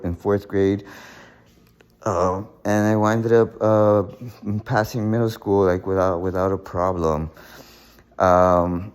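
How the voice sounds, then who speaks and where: A young man telling his life story in a casual monologue, halting often with fillers and repeated words. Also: sits low. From United States.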